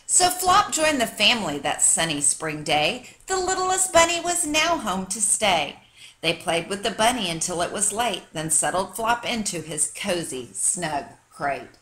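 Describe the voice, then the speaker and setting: Bright, animated woman reading a children's story. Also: medium pitch, measured pace. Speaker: teacher reading aloud. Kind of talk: expressive children's picture book read-aloud.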